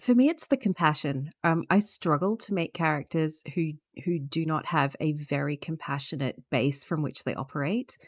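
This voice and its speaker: A woman with a very low voice talks through her answers in relaxed conversation, pausing often. The sound is dry and a little dull. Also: no room sound. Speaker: guest player. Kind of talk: casual interview answers.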